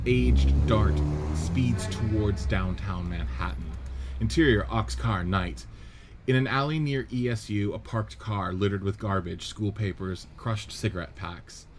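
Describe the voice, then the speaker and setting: A man reads out stage directions in a plain, matter-of-fact way. His voice sits fairly low. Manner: even, matter-of-fact reading. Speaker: narrator reading stage directions. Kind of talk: script reading narration.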